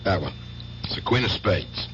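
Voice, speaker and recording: A streetwise gambler uncle in a radio drama, a man with a fairly low voice. He is skeptical and scheming, heard through a dull, faintly noisy old recording. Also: expressive, moderate pace. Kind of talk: 1970s radio drama acting.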